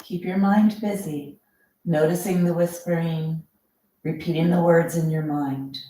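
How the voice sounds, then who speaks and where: A woman's voice: low voice, slight background noise. Echoey, distant woman guiding breathwork. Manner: calm, unhurried, instructive, with drawn-out phrases. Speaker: yoga and breathwork teacher. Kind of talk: guided breathing meditation instruction. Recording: very echoey room, distant microphone.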